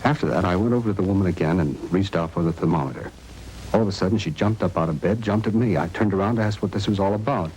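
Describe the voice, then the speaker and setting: Man playing a low-voiced, shaken American doctor. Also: fast, darkish tone, close to an everyday voice, low-quality recording. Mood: uneasy recounting. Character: shaken, indignant male doctor, a robbery victim. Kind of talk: 1950s radio drama acting. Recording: old radio recording, faint noise.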